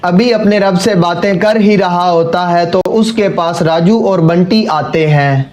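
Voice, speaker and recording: Guy narrating a kids moral cartoon story, really high voice, reading a script. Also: unhurried, a little mellow, highs cut off.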